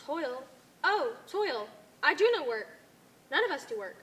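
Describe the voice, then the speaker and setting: Woman as a naive, very high-voiced cult follower. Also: very animated, unhurried, fairly bright tone, full character voice. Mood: puzzled, earnest. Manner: formal, uncontracted, puzzled phrasing. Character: naive, obedient member of a blue cult people, easily confused. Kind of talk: stage play acting. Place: theatre stage. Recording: echoey stage hall recording.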